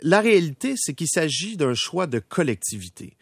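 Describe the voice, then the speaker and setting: A man's voice: fairly high pitch, sing-song, normal speed, slightly rough, no room sound. A man arguing as a panel guest in a rhetorical, emphatic way. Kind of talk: panel debate.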